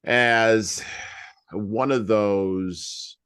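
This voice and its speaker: A man hosting a podcast, talking reflectively about books in a halting, deliberate way with emphasis on key words. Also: lowish voice, fairly even intonation, fairly bright tone, smooth, dry recording, studio quality. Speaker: podcast host. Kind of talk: reflective book discussion.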